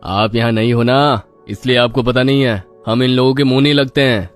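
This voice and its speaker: A man's voice: mid-range voice, fairly even intonation, a little fast, soft background music, close to an everyday voice. A man playing a haughty, dismissive school official in a children's moral story. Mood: scornful.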